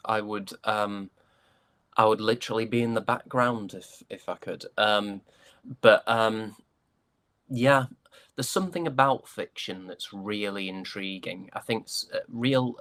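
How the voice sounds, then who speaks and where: Low-pitched British man chatting. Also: fairly bright tone. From United Kingdom. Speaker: interview guest, stage and voice actor. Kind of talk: casual interview chat.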